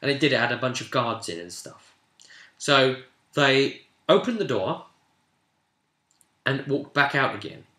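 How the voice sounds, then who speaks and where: A man's voice: medium pitch, animated, a little slow, pauses often, bright, forceful tone. A man casually recapping a tabletop role-play session as game master, telling it like a story and breaking off into asides. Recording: roomy, echoey room sound.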